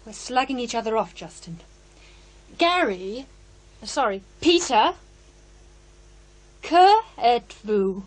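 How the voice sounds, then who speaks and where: An actress playing a blunt, unflappable investigator in a horror radio drama, matter-of-fact and wry. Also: female voice, fairly high pitch, big pitch swings, takes it slowly, pauses often, full character voice, rough recording. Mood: firm, probing. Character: blunt, unflappable female investigator who translates French.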